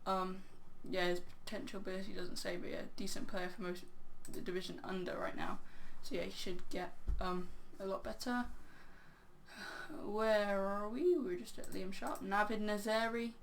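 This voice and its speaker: Rambling hoarse woman commentating a game. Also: medium pitch, fairly even intonation, normal speed, a little hiss or hum. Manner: rambling, filler-heavy, "um, yeah". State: crackly, hoarse voice. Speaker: game channel host. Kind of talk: casual video game commentary.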